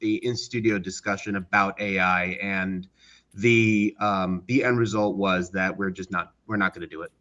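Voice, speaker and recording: A man explaining things as an interview guest in a measured way, pausing to choose his words. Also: low voice, fairly even intonation, bright, forceful tone. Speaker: audio drama creator, podcast guest. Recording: dull, muffled online call audio.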